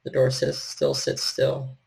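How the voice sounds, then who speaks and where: A man with a gravelly, husky voice, narrating a horror tabletop game as storyteller in measured, literary phrasing. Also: mid-range voice, monotone, a little mellow, rough recording.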